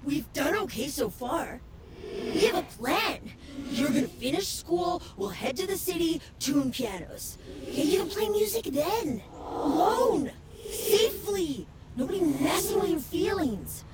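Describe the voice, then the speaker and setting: A woman's voice: very high voice, very animated, a little slow, very noisy, full character voice. An actress playing a possessive, pleading companion in an audio drama, cajoling and emphatic as she warns her friend. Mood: dismissive, coaxing. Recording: music behind the voice.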